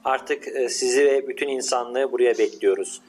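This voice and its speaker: A man voicing a confident male lead scientist who gives orders to his younger brother in a bossy but warm way. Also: mid-range voice, moderate pace, faint music behind, natural voice. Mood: commanding.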